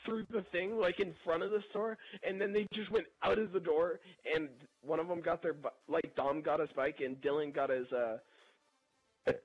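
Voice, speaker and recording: A young man with a fairly high, husky voice rambles through a story over gameplay. He is animated and slangy, talking casually as if to friends. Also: normal speed, highs cut off. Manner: animated, slangy, chuckling delivery. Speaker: gameplay video narrator.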